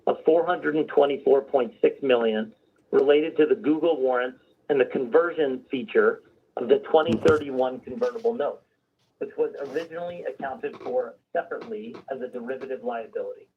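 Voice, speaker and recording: A man's voice: medium pitch, fairly quick, far from the mic, narrow, tinny audio, a little hiss or hum. A man formally reading a prepared financial results presentation on a conference call, as a company executive. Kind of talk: scripted earnings call presentation.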